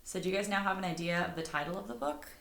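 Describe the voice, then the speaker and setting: A woman's voice: on the low side, racing, creaky, fairly bright tone. A woman reading a storybook aloud to children, stopping to put questions to them. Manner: engaging listeners with questions. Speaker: storybook reader. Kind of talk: animated read-aloud to children. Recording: echoey room.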